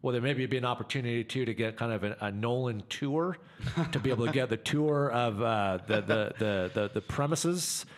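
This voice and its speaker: Rambling radio host, many fillers. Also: male voice, mid-range voice, normal speed. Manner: hesitant, repeats words, many fillers. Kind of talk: casual on-air interview.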